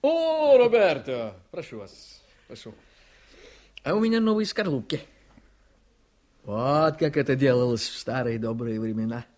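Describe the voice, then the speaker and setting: A man's voice: medium pitch, big pitch swings, a little slow, long pauses, darkish tone. An actor playing an ambitious, jovial, scheming district attorney in a radio drama, theatrical and exclamatory, repeating his phrases. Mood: playful, jovial. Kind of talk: Soviet-style radio drama acting.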